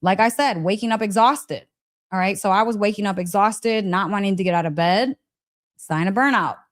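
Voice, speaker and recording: A woman's voice: medium pitch, normal speed. A woman giving a casual, motivational wellness talk as a live coach, conversational and emphatic, often checking in with her listeners. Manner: conversational, emphatic, frequent check-in tags, breaks into laughter.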